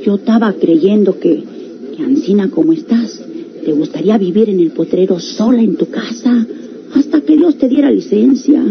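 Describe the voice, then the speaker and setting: A woman's voice: mid-range voice, lively intonation, normal speed. A woman with a rural Mexican accent playing a hesitant, caring country friend in a radio serial, rustic and familiar. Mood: hesitant concern. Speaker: actress in a radio drama. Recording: muffled old radio recording, clear noise.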